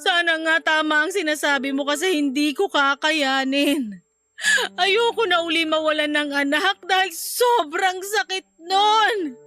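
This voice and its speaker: High, tearful, anxious Filipino mother. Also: unhurried, pushed and bright, soft background music. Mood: tearful, fearful. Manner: pleading, tearful delivery. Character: anxious, grief-stricken mother and wife Larissa. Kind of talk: emotional radio drama acting.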